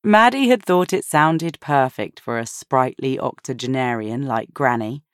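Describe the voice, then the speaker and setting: A woman narrating a novel in a measured, expressive storytelling manner. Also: extremely low pitch, no room sound, clean studio sound. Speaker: audiobook narrator.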